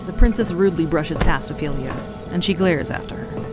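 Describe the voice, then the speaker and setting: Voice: medium pitch, a little fast, over loud music. A narrator reading a scripted audio drama in a descriptive storytelling manner.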